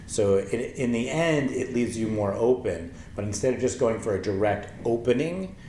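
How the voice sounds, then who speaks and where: A man in his forties with a low voice, teaching a yoga lesson slowly and gently with many pauses and ums. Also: expressive. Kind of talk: calm spoken yoga lesson with chanting. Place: small wooden-floored room with paper screen, indoors. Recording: echoey room, faint ambient noise.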